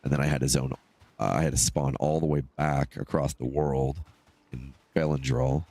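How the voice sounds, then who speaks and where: A man with a stuffed-up, nasal voice giving casual, low-key, rambling solo commentary as he live streams a game. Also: steady tone, moderate pace, warm tone, no echo. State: congested from allergies.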